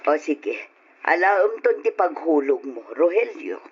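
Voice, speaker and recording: A man's voice: fairly high pitch, sing-song, measured pace, slightly rough, full character voice. A man playing an agreeable, doting partner in an old Ilocano radio drama, on a dull, faintly noisy recording.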